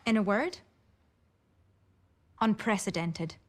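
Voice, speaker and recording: An actress playing an assured motorsport pundit giving analysis in a documentary interview. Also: female voice, medium pitch, lively intonation, slow. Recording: faint music behind the voice.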